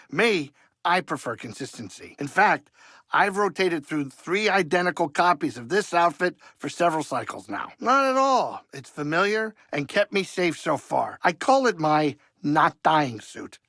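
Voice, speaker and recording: An actor playing a wisecracking older alien pilot and cook in a video game, grumbling and blustery but warm-hearted. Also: male voice, fairly high pitch, sing-song, unhurried, no room sound, studio quality, heavily acted. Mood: wry banter. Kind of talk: video game character acting.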